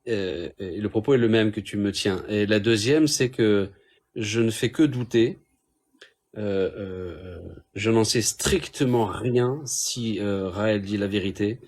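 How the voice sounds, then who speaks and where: A man's voice: on the low side, measured pace, darkish tone. A man in a casual debate, defending his views with frequent hesitations, restarts and fillers. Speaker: Raëlian guest in a debate.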